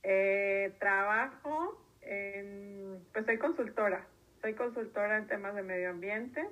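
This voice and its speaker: A woman's voice: mid-range voice, slow, a bit bright. A woman in her thirties with a northern Mexican accent, speaking casually as an interview guest. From northern Mexico, grew up in Tijuana. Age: 30s.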